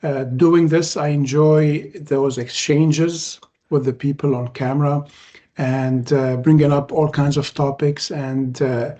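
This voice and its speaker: A man from Lebanon whose first language is Arabic, hosting a podcast in relaxed conversation. He speaks in measured phrases with frequent "uh" pauses. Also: a little high. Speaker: podcast host.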